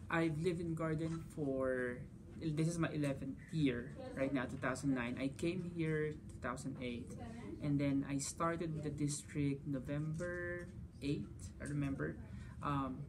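A man's voice: on the high side, steady tone, measured pace, few pauses, crowd noise. A man in his thirties from the Philippines, answering interview questions casually as a physics teacher, often saying "you know" and "like". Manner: gestures while talking, frequent "you know" and "like". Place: school conference room. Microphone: camera across the table.

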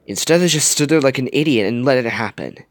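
A man's voice: mid-range voice, animated, fast talker, dry recording, clean studio sound, pitched above the performer's own voice, natural voice. A man voicing a blunt, guarded young woman in a dramatic story, curt and defensive. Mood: furious, anguished.

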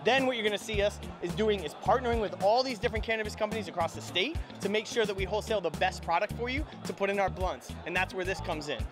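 An energetic man speaks quickly and fluently, pitching products like a practiced salesman in an interview. His voice sits high, picked up on a handheld mic with music in the background. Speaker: cannabis company representative, interviewee. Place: indoor convention hall booth. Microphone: handheld microphone held by interviewer.

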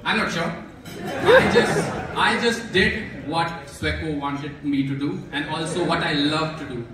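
A man's voice: on the high side, big pitch swings, unhurried. A young man whose first language is Hindi gives a hesitant acceptance speech, full of fillers and restarts, on a stage in a large banquet hall. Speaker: award recipient giving acceptance speech. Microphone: handheld mic heard from far in the room. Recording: echoey hall, recorded from far off. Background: audience chatter and noise.

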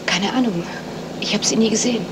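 A guarded lady witness acted by a woman in a vintage German radio drama. She has a mid-pitched voice and gives terse, reserved answers. Clear noise runs through the recording. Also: a little fast, close to an everyday voice. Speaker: actress in a crime radio play.

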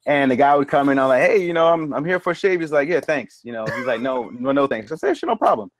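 A middle-aged barber man speaks over a video call from a home room. His voice sits at a medium pitch with a dry, close sound, and he tells stories with energy, putting on the voices of the people in them. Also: fast, no echo. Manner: animated storyteller, acts out quoted lines. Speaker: barber guest. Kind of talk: casual video call chat. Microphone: video call mic.

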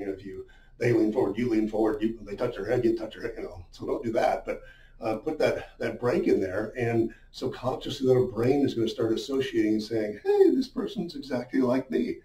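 Male business coach, middle-aged, mid-pitched mellow voice, chatty explainer style, recorded in a roomy, echoing space. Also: expressive, a little fast. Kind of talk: informal instructional talk at a whiteboard. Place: small indoor room with a whiteboard. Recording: roomy, muffled, distant-sounding echo.

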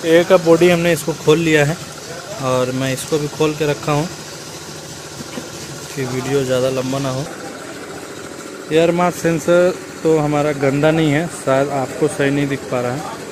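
Young man, mechanic, step-by-step repair explanation, slightly high voice, outdoor phone recording, faint vehicle noise. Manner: explains step by step while working. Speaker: car mechanic. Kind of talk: hands-on repair tutorial. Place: inside a car and at the open engine bay, outdoors.

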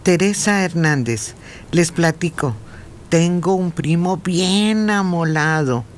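A man with a fairly high voice reads listener messages on a radio show. He takes each message very slowly and steadily. A faint low rumble sits behind his voice. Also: lively intonation. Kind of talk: reading messages on air.

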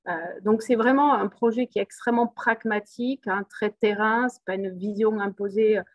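A woman's voice: medium pitch, fairly even intonation, moderate pace, no room sound, highs cut off. A middle-aged woman with a southern French accent, speaking on a video call at a webinar, full of hesitations and repeated words but earnest and upbeat. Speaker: rail company executive speaking at a webinar. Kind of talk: spontaneous webinar speech.

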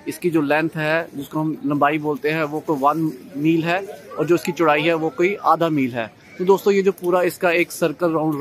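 A man in his forties from Jammu, vlogging on a day trip, chatty and explaining to his viewers as he films himself from arm's length with a phone, first in a car and then outdoors by a lake. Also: a little high. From Jammu, India. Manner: chatty, addresses viewers as friends, explains as he goes. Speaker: travel vlogger. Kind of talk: casual travel vlog narration. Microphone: phone camera at arm's length. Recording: faint outdoor and road noise.

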